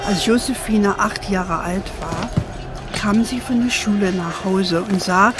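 Affectionate grandma played by a woman for children's audio drama: slow, measured delivery, American-tinged German accent, over clear music. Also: medium pitch, natural voice. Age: older. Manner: measured, tender, sprinkles in English endearments. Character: affectionate grandmother telling her family history to her granddaughter. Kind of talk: gentle bedtime storytelling in a children's radio play.

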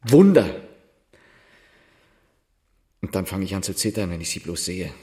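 Tormented husband, wildly swinging voice. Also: male voice, deep voice, very animated, mellow. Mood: drunken, despairing. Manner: halting, brooding, bursting into bitter outbursts. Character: tormented, self-reproaching husband, drinking through the night. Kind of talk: German literary radio drama acting.

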